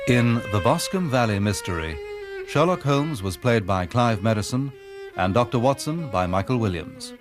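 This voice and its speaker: A man's voice: mid-range voice, lively intonation, moderate pace. A man reading a scripted broadcast credits announcement. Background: violin music.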